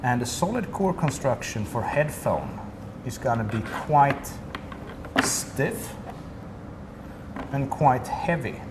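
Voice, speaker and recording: A man's voice: medium pitch, lively intonation, takes it slowly, long pauses. A man from Sweden with a Swedish accent, a company representative explaining a product in detail during an interview. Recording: noisy room ambience.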